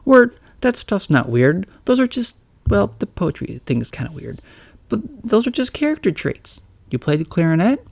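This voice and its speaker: A man's voice: high-pitched, very animated, moderate pace, vocal fry, a little mellow, dry recording, pitched above the performer's own voice, exaggerated voice. A man voicing a sassy, blunt female bluebird. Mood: teasing. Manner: teasing, blunt.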